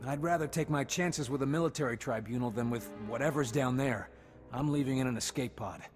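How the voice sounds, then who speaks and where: A man's voice: medium pitch, brisk, close to an everyday voice. Evasive starship captain over music, played by a man. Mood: defensive, pleading. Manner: defensive, bargaining. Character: self-serving, evasive male starship captain. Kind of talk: dramatic video game acting.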